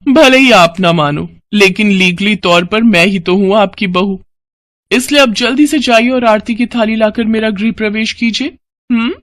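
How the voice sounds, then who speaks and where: A woman's voice: medium pitch, moderate pace, fairly bright tone, dry recording, lightly acted. A woman voicing an arrogant young modern bride in a cartoon story, cheeky, defiant and bossy. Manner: haughty, cheeky.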